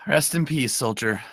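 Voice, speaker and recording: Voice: medium pitch, fairly bright tone, breathy edge, exaggerated voice. A performer playing a laid-back, joking young boy drone racer in a table read. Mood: mock solemn.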